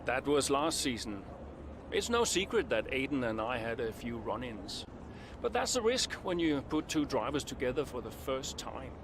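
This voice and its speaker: An actor playing a proud, guarded veteran racing driver in a video game, terse and defensive in manner. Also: male voice, medium pitch, sing-song, normal speed, background noise. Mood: guarded, measured. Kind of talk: dramatic video game cutscene acting.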